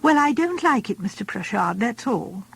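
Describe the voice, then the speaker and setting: A woman voicing a brisk, matter-of-fact nurse. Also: a little high, sing-song, gravelly, breathy edge, dry recording, heavily acted.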